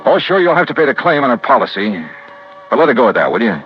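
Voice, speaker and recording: A man's voice: mid-range voice, big pitch swings, fast, soft background music, natural voice. A man playing a shrewd, tough insurance investigator in 1950s radio noir style, with a clipped American delivery. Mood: somber, weary. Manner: clipped, hard-boiled. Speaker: lead actor of a radio detective series. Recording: muffled old radio recording.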